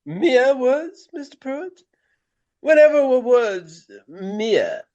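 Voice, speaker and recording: High-voiced, pompous Regency gentleman actor. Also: sing-song, slow pace, highs cut off, exaggerated voice. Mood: grandiose. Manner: pompous, florid, hesitant with formal archaic speech. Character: vain, fussy Regency gentleman actor, greying, easily puzzled. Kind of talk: radio play acting.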